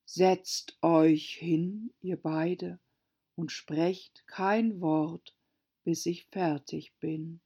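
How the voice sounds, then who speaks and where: A gloomy old female turtle creature from a storybook, acted out by a woman, drags out each phrase in a hollow, sighing voice. She is mournful and quick to take offence. The recording is slightly muffled. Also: fairly even intonation, mellow, husky, full character voice. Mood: mournful, solemn. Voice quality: deep, hollow voice. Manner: slow, drawn out, sighing.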